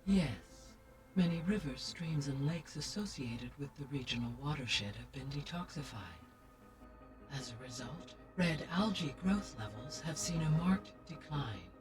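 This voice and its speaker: A calm, formal AI guardian. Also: female voice, deep voice. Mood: measured. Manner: measured, precise and formal. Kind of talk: video game dialogue. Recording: distant game audio with music.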